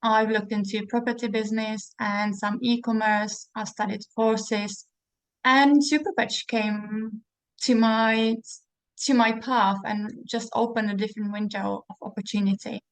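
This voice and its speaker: A woman's voice: on the high side, level tone, unhurried, no room sound, low-quality recording. A woman from the Czech Republic with a Czech accent, hosting a casual interview and talking chattily with fillers and restarts. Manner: chatty with frequent fillers and restarts, laughing now and then. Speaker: host.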